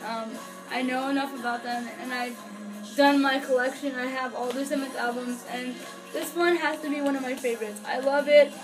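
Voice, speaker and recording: A woman's voice: high-pitched, steady tone, normal speed, music in the background, poor audio. A young woman giving a casual, unscripted review to camera in an earnest, gushing way, often hesitating and trailing off mid-thought. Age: young adult. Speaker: vlogger, fan album reviewer. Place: small furnished bedroom, indoors. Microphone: camera or webcam a few feet away.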